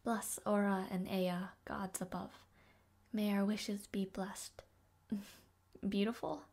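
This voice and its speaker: Woman acting a demure, slow-speaking young maid. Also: mid-range voice, level tone. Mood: soft, shy. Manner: polite, soft-spoken, flustered hesitations, formal diction. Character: demure, devoted young maid of noble birth. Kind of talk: audio roleplay addressed to the listener.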